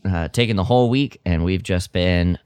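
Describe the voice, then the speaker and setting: A man's voice: deep voice, normal speed, darkish tone, clear, resonant tone, no echo, clean studio sound. A man co-hosting a podcast in casual chat, talking with enthusiasm and emphasis. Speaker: podcast co-host. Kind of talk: casual chat about a radio drama.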